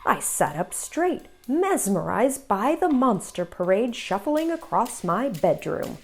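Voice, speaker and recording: A woman hosting a children's read-aloud, telling the story in an expressive, deliberate way for young listeners. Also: medium pitch.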